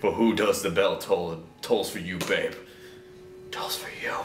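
A lovesick, drunken young man played by an actor with a low, very slow voice. He slurs and repeats himself with overwrought melodrama, like a comic voicemail. The recording is roomy and distant. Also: fairly even intonation, music in the background. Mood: maudlin, rambling. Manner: slurred, halting, repetitive, overwrought. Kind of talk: comedic rambling voicemail monologue.

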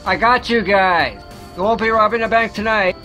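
A man playing a confident flying superhero in a homemade story, speaking loudly and emphatically. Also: sits high, steady tone, slow, creaky, full character voice. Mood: triumphant. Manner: loud, emphatic heroic declarations. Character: heroic flying male superhero, brash and confident. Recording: music playing behind.

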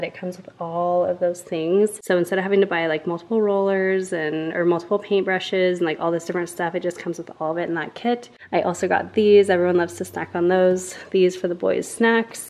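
A woman's voice: fairly low pitch, darkish tone. A young woman chatting casually to her handheld camera in her kitchen, full of little fillers as she talks. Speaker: family vlogger. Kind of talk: casual vlog chat. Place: home kitchen, indoors. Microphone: handheld camera at arm's length.

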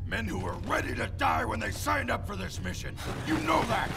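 An actor playing a gruff, hot-tempered squad commander in a video game, barking profane orders with a gravelly voice. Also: male voice, high-pitched, wildly swooping pitch, normal speed, recorded from a distance, over music, exaggerated voice. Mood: angry. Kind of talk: video game cutscene acting.

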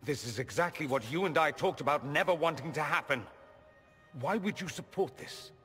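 A man's voice: on the high side, a bit bright, faint music behind, lightly acted. A man voicing a witty, sardonic young male mage with a dry, theatrical manner, in game audio with hall reverb and score. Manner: dry, theatrical, quick wit.

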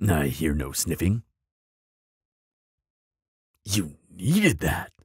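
A man as a deep, raspy, grumpy bogeyman. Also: big pitch swings, drawn-out words, dry recording, clean studio sound, full character voice. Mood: gruffly encouraging. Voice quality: raspy, gravelly. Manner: pompous, flustered stammering, dramatic. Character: grumpy, proud male bogeyman, secretly soft-hearted. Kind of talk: audio roleplay acting.

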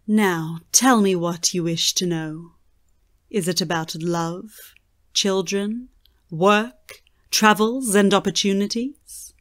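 A medium-pitched female voice speaking slowly and portentously , as acted by a woman. She is an ominous, mysterious fairground fortune teller in an audio drama. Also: a bit bright. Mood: enticing. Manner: slow, portentous.

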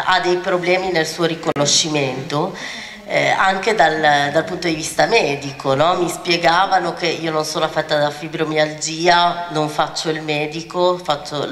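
A woman's voice: deep voice, a bit bright. A woman moderating a conference panel on stage, speaking into a handheld mic in a hesitant way with many fillers and restarts. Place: large conference hall, seated on stage. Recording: echoey hall with faint ambience.

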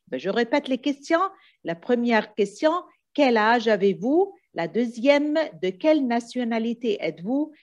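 A woman's voice: on the high side, a little mellow, lo-fi sound. A woman teaching a language lesson in a didactic way, repeating key phrases and often checking understanding. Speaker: French teacher. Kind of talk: exam coaching lesson, switching between two languages.

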